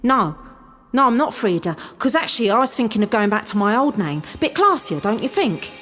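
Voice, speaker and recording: A woman plays a battle-worn female Viking from a comic fantasy drama. Her voice sits a little high and swings widely, blustering and then faltering into matter-of-fact chat, with some music behind her. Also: big pitch swings, a little fast, a bit bright. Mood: sheepish, chatty.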